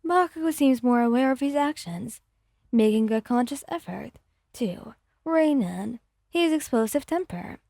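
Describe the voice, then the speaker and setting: A woman's voice: a little high, lively intonation, unhurried, creaky, warm tone, no room sound. A woman narrating scripted fan fiction in an ASMR storytelling style, close to the microphone.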